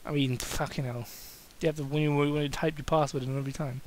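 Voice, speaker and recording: A man's voice: on the high side, fairly even intonation, fast talker, darkish tone. A man giving a comic amateur dramatic reading of fan fiction, stumbling over words and breaking off to heckle the text with swearing.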